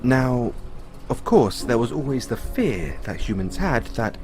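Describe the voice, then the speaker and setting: A man playing a patient owner talking to his android in an audio roleplay, explaining in a musing way with pauses. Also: mid-range voice, sing-song, darkish tone, faint music behind. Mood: patiently explaining. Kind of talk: audio roleplay, conversational storytelling.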